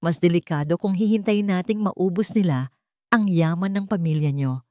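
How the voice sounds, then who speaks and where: A woman's voice: lowish voice, moderate pace, dark tone, dry recording, clean studio sound, natural voice. A woman with a Filipino accent voicing a betrayed wife, anxious but insistent, in a story drama. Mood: determined, bitter. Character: betrayed wife, anxious yet determined and bitter.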